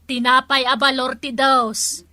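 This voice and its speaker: Chatty village shopkeeper for radio comedy: superstitious, exclamatory, high-pitched, bright. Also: female voice, little pitch movement, a little slow. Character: animated, superstitious village woman running a small shop. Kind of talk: radio comedy drama acting.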